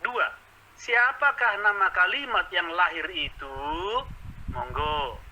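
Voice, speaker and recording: A man's voice: sits high, sing-song, slow pace, pushed and bright, dull audio. A man speaking as a debater in an informal religious debate, self-assured and didactic, often checking that listeners follow.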